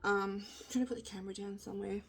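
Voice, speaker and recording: Husky, nasal-voiced woman chatting frankly. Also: mid-range voice, steady tone, quick pace, background noise. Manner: rambling, frank, self-deprecating, swears casually. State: hoarse from being sick. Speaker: vlogger. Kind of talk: casual chatty vlog. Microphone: handheld phone camera.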